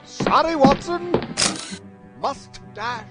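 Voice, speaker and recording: Cowardly comic Victorian detective, high voice, slow delivery, melodramatic, bumbling, audio drama with music. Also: male voice, lively intonation, full character voice. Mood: breezy. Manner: theatrical, faltering, melodramatic.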